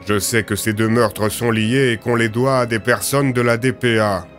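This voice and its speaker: A man's voice: medium pitch, fairly even intonation, normal speed, a bit bright, soft background music, lightly acted. A man playing a stern lawman in an audio drama, dry, firm and formal, with a sarcastic, threatening edge. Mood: irritated, sarcastic.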